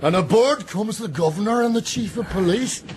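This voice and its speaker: An actor playing a boisterous, menacing, hard-drinking sailor who spins yarns in rough, jeering speech for a radio drama. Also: male voice, high voice, lively intonation, normal speed, a little mellow, a little hiss or hum. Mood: gloating, boisterous.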